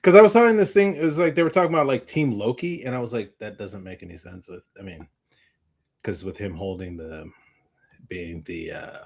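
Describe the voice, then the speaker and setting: Male co-host, fairly low pitch, informal, rambling delivery, often unsure of his point. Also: fairly quick. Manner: rambling, unsure, searching for words. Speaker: co-host of a movie discussion show. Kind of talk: casual chat.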